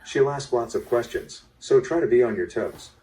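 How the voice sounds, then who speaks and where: A man playing the narrator-host of an interactive murder mystery, speaking in a measured way directly to the listener. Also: mid-range voice, expressive, mellow, lightly acted. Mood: measured, guiding. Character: male narrator-host guiding a player through a murder mystery. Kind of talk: scripted interactive drama narration. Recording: played through speaker, slightly distant.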